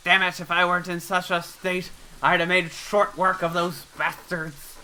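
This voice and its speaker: A man's voice: high-pitched, flat delivery, normal speed, bright, forceful tone, slight background noise, rough recording. A man voicing a proud, scornful older male mystic fighter in a game, blustering and defiant. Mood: grumbling.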